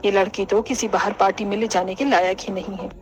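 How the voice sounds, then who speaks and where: A woman's voice: medium pitch, fairly even intonation, a little fast, pitched below the performer's own voice, lightly acted. A woman voicing a stern, nagging middle-aged mother-in-law in a scolding, disapproving manner for a Hindi cartoon. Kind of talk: Hindi cartoon family drama.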